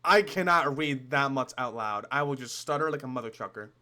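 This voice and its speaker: A man's voice: mid-range voice, brisk, pushed and bright. A young man giving casual reaction commentary as a streamer, joking, swearing and musing aloud.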